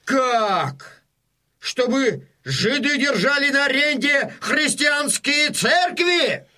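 An actor playing an indignant, zealous Cossack in a dramatised audiobook, declaiming in loud outrage. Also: male voice, extremely high pitch, animated, slow pace, full character voice. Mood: outraged. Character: outraged, zealous Cossack fighter stirring up a mob.

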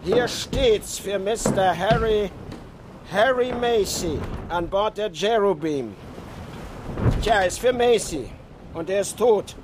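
Grim old whaling captain, high-strained and slow. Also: male voice. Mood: gruff, indifferent. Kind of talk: German radio drama acting. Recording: noisy sea sound effects behind.

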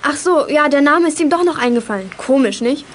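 A female performer playing a spirited, fair-minded teenage girl in a children's audio drama, lively and assertive. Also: sits high, a little fast, a little hiss or hum. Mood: cheerful. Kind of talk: 1980s German children's audio drama acting.

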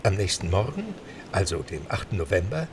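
A man's voice: deep voice, wildly swooping pitch, fairly quick, distant mic, background noise. A man narrating a scripted adventure story in a dramatic storytelling style.

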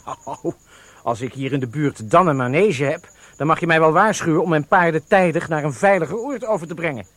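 An actor playing a curious, canny visitor in a Dutch radio drama, husky and hesitant, politely impressed as he probes. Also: fairly high pitch, brisk, fairly bright tone. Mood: probing, wry. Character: curious, canny male visitor flattering his host.

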